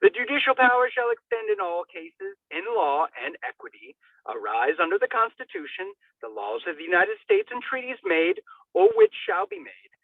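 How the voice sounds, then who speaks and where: A man's voice: extremely high pitch, wildly swooping pitch, moderate pace, vocal fry, dry recording. A man giving a hammy, theatrical dramatic reading, stumbling over words and dropping in comic asides. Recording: thin phone-like band-limited sound.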